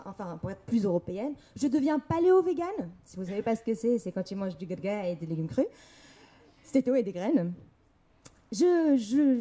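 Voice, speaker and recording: A woman's voice: a little high, dark tone. A young woman from Morocco giving a candid personal talk on stage, telling her story with laughter and quoted voices. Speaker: speaker. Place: event hall.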